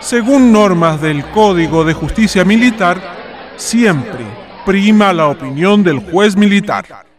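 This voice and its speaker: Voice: medium pitch, lively intonation, takes it slowly, crowd noise, background noise. An actor playing a stern military judge who solemnly reads out a verdict in a formal radio drama. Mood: solemn, official. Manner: solemn, declamatory.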